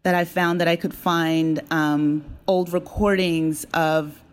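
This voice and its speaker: Lady writer being interviewed, lowish voice, chatty, explains stuff w lots of little asides. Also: steady tone, takes it slowly. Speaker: audio drama writer and creator, guest. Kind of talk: conversational interview, explaining her story.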